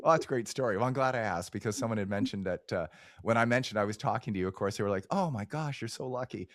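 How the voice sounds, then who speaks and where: Man, podcast host, casual interview chat, candid, rapid talk, dry recording. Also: on the low side, no echo. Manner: chatty, candid and self-deprecating.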